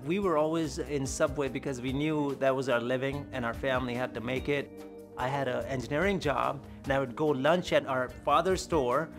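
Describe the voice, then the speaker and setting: A man's voice: medium pitch, fairly even intonation, normal speed, music in the background. A man speaking as a family franchise owner, telling anecdotes in an interview.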